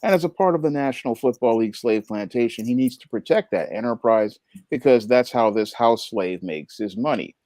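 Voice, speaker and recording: Blunt man, mellow dry voice. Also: mid-range voice, expressive, a little fast, no room sound. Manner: blunt, scathing. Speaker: guest panelist. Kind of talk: opinionated commentary.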